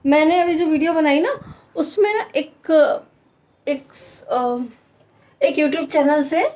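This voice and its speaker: A woman in her thirties from the Hadoti region of Rajasthan, with a mild Hadoti tone, chatting casually in a daily vlog and repeating phrases for emphasis. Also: high voice. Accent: Hindi with a mild Hadoti Rajasthani tone. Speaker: vlogger, homemaker.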